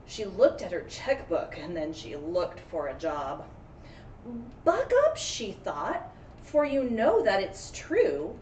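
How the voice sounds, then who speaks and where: An elementary teacher reads aloud in an unhurried, very lively voice, giving the storybook drama and encouragement. She is recorded at a distance in a roomy, echoing space. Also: lowish voice. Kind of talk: expressive children's read-aloud with friendly chat. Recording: roomy echo, distant mic, faint noise.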